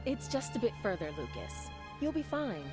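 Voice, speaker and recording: An actress voicing a calm, capable young female guide in a video game cutscene. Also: on the high side, normal speed, loud music behind the voice. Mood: assured.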